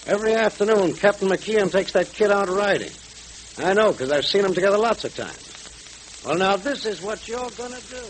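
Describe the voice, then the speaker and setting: An actor in a vintage radio serial playing a blustering, scheming gang boss with tough, emphatic gangster talk. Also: male voice, high-pitched, animated, normal speed. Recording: crackly old radio recording.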